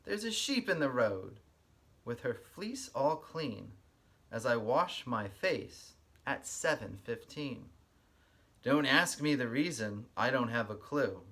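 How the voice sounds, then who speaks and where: A man working as a kindergarten teacher, giving an expressive read-aloud and explaining things simply to young listeners. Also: medium pitch, slow pace.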